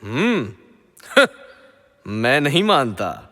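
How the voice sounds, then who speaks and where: Haughty god-king, slow and forceful. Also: medium pitch, wildly swooping pitch, heavily acted. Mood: scornful. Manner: pompous, haughty, scornful. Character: arrogant, jealous king of the gods. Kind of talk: Hindi mythological audio drama acting. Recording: long hall reverb.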